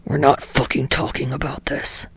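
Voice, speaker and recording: A woman voicing a grumpy, seething young man in a curt, husky growl for an audiobook-style story. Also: very deep voice, level tone, normal speed, no echo, voice pushed down, exaggerated voice. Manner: curt, menacing.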